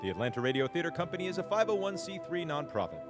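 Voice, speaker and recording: A man's voice: on the high side, sing-song, rapid-fire. A man making a scripted intermission announcement as the show's announcer on a live stage. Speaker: show announcer. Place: live stage in a recreation center. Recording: live stage, music behind.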